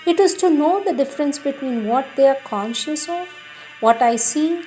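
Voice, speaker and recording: High-pitched woman reading devotional text, lively intonation. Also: rough recording. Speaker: reader of spiritual texts. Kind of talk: devotional reading aloud of spiritual teachings. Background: music.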